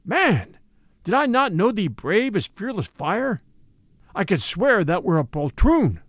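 Man putting on a booming old sea captain. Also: big pitch swings, a little slow, no room sound, studio quality, exaggerated voice. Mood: scornful. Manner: booming, declamatory. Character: obsessed old male sea captain, commanding and grim.

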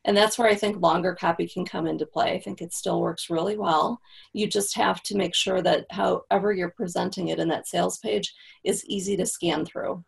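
Podcast guest, woman, gravelly husky voice, low-ish, practical explainer. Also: fairly even intonation, moderate pace. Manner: practical, explanatory, frequent "right?". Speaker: copywriting guest. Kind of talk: casual podcast interview.

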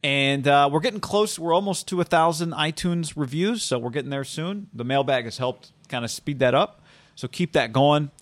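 A man, co-hosting a sports podcast in a laid-back, halting way and reading plugs for the show. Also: a little high. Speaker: podcast co-host.

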